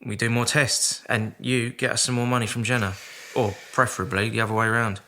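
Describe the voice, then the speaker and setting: An actor playing a keen, cheeky technician in a radio drama, matey and quick to quip. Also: male voice, mid-range voice, little pitch movement, sharp tone, a little hiss or hum. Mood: wry. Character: keen, cheeky male technician on a research team.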